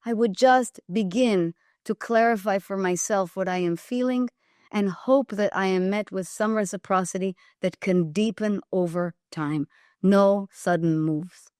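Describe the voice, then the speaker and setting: An Israeli woman talks as a podcast guest in a mid-range voice. She is emphatic and measured, and she repeats phrases to drive them home. The recording is dry and close. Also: medium pitch, a little mellow, no room sound. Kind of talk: podcast interview.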